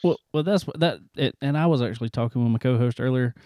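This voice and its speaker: A man's voice: medium pitch, fast talker, mellow, no room sound, studio quality. A man hosting a podcast, who asks his guest questions in a friendly, chatty way with lots of fillers. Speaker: podcast host. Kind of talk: casual interview chat.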